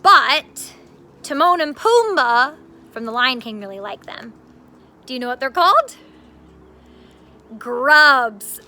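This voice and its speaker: A woman's voice: high-pitched, sing-song, slow, pauses often, pushed and bright, slight background noise. A woman teacher giving children an upbeat read-aloud and gardening demonstration in simple terms.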